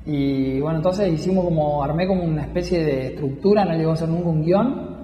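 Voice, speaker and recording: A man's voice: a little high, brisk, dark tone, roomy sound, big-room sound, muffled recording, slight background noise. A young man, a filmmaker, talking about his work in an interview with many restarts and fillers. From Misiones, Argentina. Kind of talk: interview talk.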